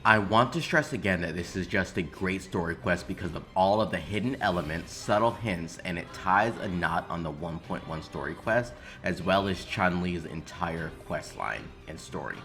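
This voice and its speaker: A man's voice: deep voice, moderate pace, hardly any pauses, a bit bright, soft background music. A man delivering opinionated video essay commentary on games in an emphatic, informal way. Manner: emphatic, informal, occasional swearing. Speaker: video game commentary creator.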